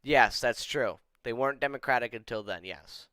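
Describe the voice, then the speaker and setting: A man's voice: mid-range voice, normal speed, pushed and bright, no echo, clean studio sound. A young man chatting casually on a stream, rambling with lots of "like" and giving blunt opinions. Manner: rambling, opinionated, heavy use of "like". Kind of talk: casual chat.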